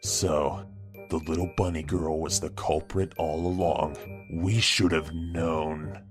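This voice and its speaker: A man's voice: rumbling low, steady tone, takes it slowly, music in the background. A man narrating short anecdotes in a casual, emphatic storytime style.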